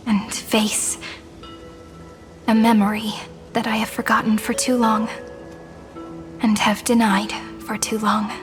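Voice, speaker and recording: A tender, wistful young woman from a story-driven game, speaking in a soft, earnest and reflective way. Her voice sits a little high. A game score plays clearly beneath her. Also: little pitch movement, slow, long pauses, music in the background. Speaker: game character. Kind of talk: game cutscene voice acting.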